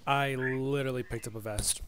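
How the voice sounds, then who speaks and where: A man talking over his own shooter gameplay, mid-pitched and casual. He cracks mock-zealous jokes between bursts of action. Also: steady tone, fairly quick, fairly bright tone. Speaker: gaming channel co-host. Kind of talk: casual let's-play chat.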